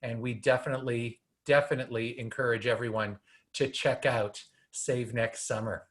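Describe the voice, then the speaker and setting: A man's voice: medium pitch, steady tone, normal speed, fairly bright tone. A man in his fifties co-hosting a podcast, talking earnestly in a rambling, conversational way. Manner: earnest, rambling, filler-heavy. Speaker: podcast co-host. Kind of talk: casual podcast chat.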